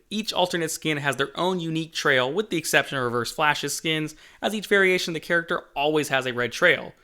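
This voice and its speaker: Bright, forceful higher-pitched man narrating. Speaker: game developer and channel host. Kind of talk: scripted video narration, development update.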